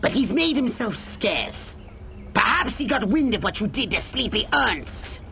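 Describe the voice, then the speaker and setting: A man's voice: sits high, sing-song, measured pace, pushed and bright, slight background noise, heavily acted. A man playing an imperious, scheming alien commander in a video game, pompous and scornful of humans.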